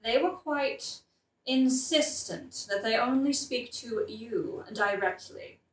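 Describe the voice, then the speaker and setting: A woman's voice: fairly high pitch, takes it slowly. A woman playing a polite, hesitant castle servant in a satirical audio play, speaking deferentially. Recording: roomy, distant mic, faint noise.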